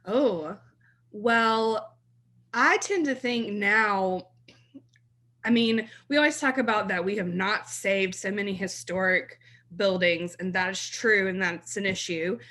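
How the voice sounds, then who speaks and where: A chatty woman in her thirties, originally from South Mississippi, chats as a podcast guest about her career. Her voice is bright and forward, and she laughs easily between thoughts. Her pitch sits in a middle range for a woman. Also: slow. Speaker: blog writer, guest. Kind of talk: podcast interview.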